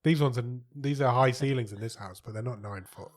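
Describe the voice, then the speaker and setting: A man with a slightly husky voice, co-hosting a casual podcast chat and often finishing his partner's sentences. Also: mid-range voice, a little fast. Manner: chatty, echoes and finishes his co-host's lines. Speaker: podcast co-host.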